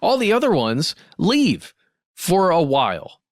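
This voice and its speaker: A man's voice: on the high side, huge pitch swings, a little slow, no room sound. Male co-host, playful casual storyteller with very varied intonation and a dry recording. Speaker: podcast host. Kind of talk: casual anecdotal chat.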